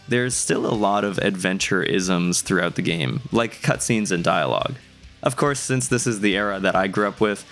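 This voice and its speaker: A man's voice: deep voice, fairly bright tone. A young man narrating a scripted video essay in a conversational, casually enthusiastic way, with casual asides.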